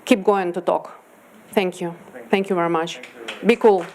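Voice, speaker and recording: A woman's voice: on the low side, fairly even intonation, moderate pace, noisy background. A Ukrainian woman, a veteran in the audience, thanks the team in direct, grateful English.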